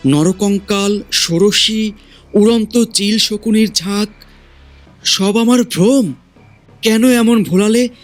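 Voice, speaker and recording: Man acting a high-voiced, bewildered disciple. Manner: dazed, earnest, protesting. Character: bewildered male poet, earnest, shaken would-be tantric disciple. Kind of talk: Bengali audio drama acting.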